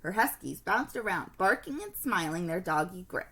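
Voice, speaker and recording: A woman teacher reading a storybook aloud to children in an expressive storytelling manner. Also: fairly low pitch, moderate pace, a little hiss or hum.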